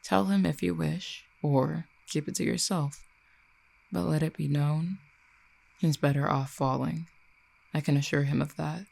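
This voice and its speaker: Casting: composed, dangerous exiled cupid for audio roleplay performed by an actor, eloquent and formal, dark creaky voice of medium pitch. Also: steady tone. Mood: composed, resolute. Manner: measured, formal, eloquent phrasing. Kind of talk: audio roleplay acting, addressed to listener.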